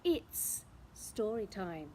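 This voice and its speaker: A woman storyteller reading a picture book aloud in an expressive, theatrical way, drawing out words. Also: mid-range voice, noisy background. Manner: theatrical, with drawn-out words and sound effects. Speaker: children's storyteller.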